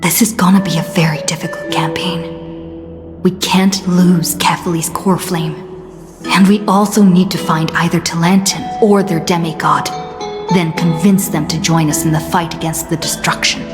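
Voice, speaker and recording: An actress playing a thoughtful, burdened young game heroine, musing to herself in a hushed, breathy, introspective way. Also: female voice, lowish voice, steady tone, moderate pace, long reverb tail, music in the background, close to an everyday voice. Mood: pensive, worried. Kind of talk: scripted video game monologue.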